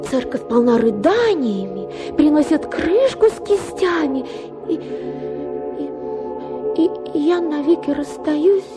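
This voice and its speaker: Capricious young wife playing the invalid, extremely high voice, melodramatic sighs, radio drama over music. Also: female voice. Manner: affected, sighing, melodramatic. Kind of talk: dramatized literary short story for radio.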